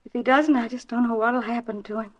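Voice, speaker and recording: An actress playing an anxious, pleading wife in a 1950s radio drama, speaking hesitantly and earnestly. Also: female voice, on the high side, fairly even intonation, fast talker, lightly acted. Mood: resigned, sad. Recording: dull vintage 1950s radio recording.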